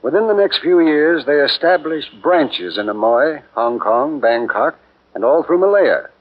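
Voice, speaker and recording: An earnest missionary lays out the facts in steady, explanatory tones in a 1940s radio play. His pitch moves around a lot, and the sound is dull, like an old broadcast. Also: measured pace, a little hiss or hum.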